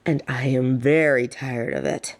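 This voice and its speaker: Slow-spoken, defiant rich daughter played by a woman. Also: a bass voice, steady tone. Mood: exasperated, mocking. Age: young adult. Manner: sardonic, sweary, emphatic delivery. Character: defiant, fed-up adult daughter of a snobby rich family. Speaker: audio roleplay performer. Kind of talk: scripted dramatic audio roleplay.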